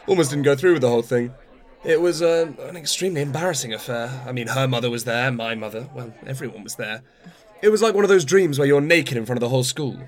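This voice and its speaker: An actor playing a self-absorbed older playwright in a radio play, garrulous, wry and opinionated, in naturalistic dialogue. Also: male voice, mid-range voice, natural voice. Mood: chatty, self-deprecating. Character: self-absorbed older male playwright, rambling, grumbling, patronising.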